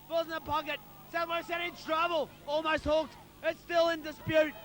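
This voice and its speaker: Male Australian footy co-commentator, piercing and high, voice strained from shouting, urges his team on in slangy, biased bursts. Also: moderate pace. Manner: excited, urging players on, colloquial. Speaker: amateur football co-commentator. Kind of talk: partisan live sports commentary.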